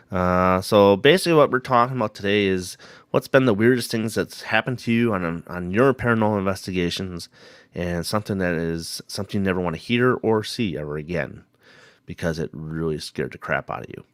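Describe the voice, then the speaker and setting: Man, very low voice, hoarse from a cold, rambling live-stream host, dry sound. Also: no room sound. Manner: rambling, greets viewers by name. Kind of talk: casual live-stream chat.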